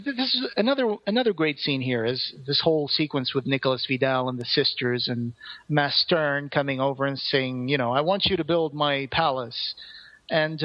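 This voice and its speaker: A man co-hosting a podcast, casually retelling and discussing a play in a rambling, halting, earnest way. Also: fairly high pitch, highs cut off. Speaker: podcast co-host.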